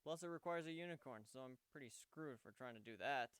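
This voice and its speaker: A man voicing a gentle, wry male pegasus pony who speaks matter-of-factly in a story reading. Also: mid-range voice, brisk, clear, resonant tone, no room sound, natural voice. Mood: explaining.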